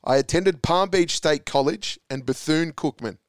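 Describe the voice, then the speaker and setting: A man's voice: fairly high pitch, normal speed, no room sound, studio quality. An Australian man hosting a sports quiz podcast, upbeat as he reads clues and calls answers. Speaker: quiz host.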